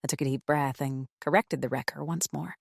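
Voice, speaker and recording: A woman's voice: low-pitched, steady tone, brisk. A woman playing a weary, foul-mouthed, rambling diner manager in her forties, narrating her interrogation in a horror audio drama. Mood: wry, tense narration. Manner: weary, sardonic, rambling, profane. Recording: roomy echo, clear background noise, hum.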